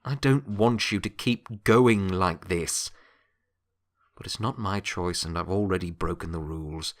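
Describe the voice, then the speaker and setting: Audio story role: man as ancient former Death, weary and wry, unhurried halting delivery, reassuring. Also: sits low. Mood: earnest, sombre. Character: Blanche, centuries-old retired pony Death, gentle, wry, weary. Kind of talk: dramatic audiobook character acting.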